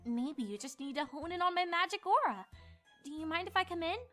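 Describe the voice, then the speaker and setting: Woman playing a bookish young female pony, high pitch, lively intonation, earnest, insistent, cartoon story. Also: a little fast, soft background music. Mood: explaining.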